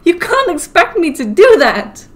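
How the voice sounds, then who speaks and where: A woman's voice: very high-pitched, very animated, a little slow, heavily acted. An actress playing a hurt but determined young woman in an audio drama, confiding and emotional. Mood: hurt, furious. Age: young adult.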